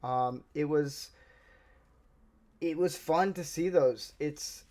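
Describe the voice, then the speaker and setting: A man's voice: a little high, slow pace, a little mellow. A man with a Southern American accent, giving a casual, rambling movie review as a channel host, often restarting his sentences. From Southern United States. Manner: chatty, rambling, many restarts.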